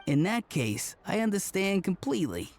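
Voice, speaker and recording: A man's voice: high voice, big pitch swings, moderate pace, darkish tone, heavily acted. A man voicing a naive, eager sidekick in a video game, earnest and theatrical with gangster slang. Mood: eager, conspiratorial. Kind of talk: animated video game voice acting.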